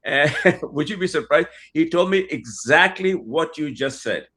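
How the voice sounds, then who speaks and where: A man's voice: fairly high pitch, lively intonation, unhurried, a bit bright, breathy edge. A man from India interviewing a guest, speaking conversationally with many hesitations and fillers and the odd chuckle.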